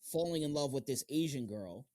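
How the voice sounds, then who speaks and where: A man's voice: medium pitch, animated, normal speed, dark tone. A man hosting a casual interview podcast, talking in slangy, emphatic, heavily profane language.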